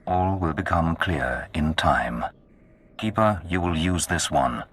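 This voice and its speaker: An actor playing a menacing, imperious Sith lord in a video game, speaking with slow, cold command. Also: male voice, low voice, animated, a bit bright, slightly rough, full character voice. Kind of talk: video game cutscene acting.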